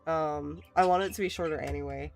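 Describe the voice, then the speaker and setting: Hoarse raspy woman streamer. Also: fairly even intonation, brisk, a little mellow. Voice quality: raspy, lower than usual. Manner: laughs often, reassuring, rambling. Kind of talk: casual chat while drawing.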